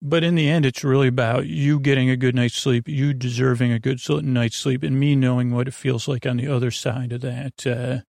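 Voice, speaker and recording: A man's voice: mid-range voice, little pitch movement, brisk, creaky, few pauses, a little mellow, no echo, clean studio sound. A man hosting a bedtime podcast, talking in a meandering, hesitant, soothing way that keeps drifting into digressions. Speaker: podcast host. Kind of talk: rambling bedtime story podcast monologue.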